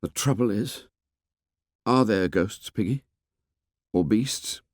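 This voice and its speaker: A man voicing a troubled, cautious boy leader in an audiobook, speaking flatly and hesitantly. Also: fairly low pitch, takes it slowly, no room sound, studio quality. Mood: weary. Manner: flat, subdued, hesitant.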